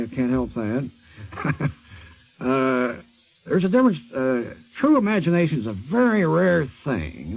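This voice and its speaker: A gravelly, husky man gives candid opinions in an informal interview, hesitating a little. His mid-range voice rises and falls a lot. The recording is dull vintage radio. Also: medium pitch. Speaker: astronomer and writer being interviewed.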